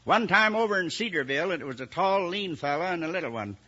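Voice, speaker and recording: An actor playing a downhearted old lawman in a western radio drama, with a halting, folksy drawl. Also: sits high, animated, pushed and bright, exaggerated voice. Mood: pondering. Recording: dull vintage radio recording.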